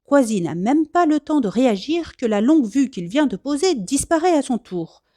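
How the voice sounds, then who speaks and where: A woman reading a children's storybook aloud as expressive scripted narration. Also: sits high, normal speed, no echo, studio quality. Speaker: storybook reader.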